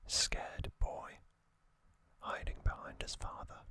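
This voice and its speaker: A man whispering an ASMR trivia recap in a breathy, unvoiced voice, gently and in short, drawn-out phrases. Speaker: ASMR host.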